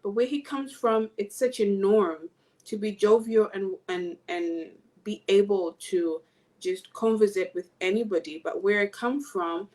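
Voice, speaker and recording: A woman's voice: mid-range voice, a little slow. Woman from Zambia, interview guest, casual, reflective, mid-range voice. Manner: relaxed, reflective, repeats words while thinking.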